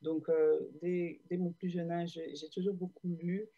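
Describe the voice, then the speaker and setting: A woman's voice: fairly low pitch, flat delivery, unhurried, mellow, highs cut off. A woman from Belgium, a guest explaining her digital marketing work in a hesitant, filler-heavy way over a video call. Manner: hesitant, many fillers and restarts. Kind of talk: casual interview answers.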